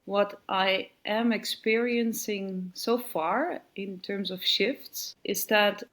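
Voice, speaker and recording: A woman's voice: medium pitch, slow, fairly bright tone. A woman, reflecting on a retreat in an interview, searching for words with frequent restarts. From Netherlands. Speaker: retreat participant.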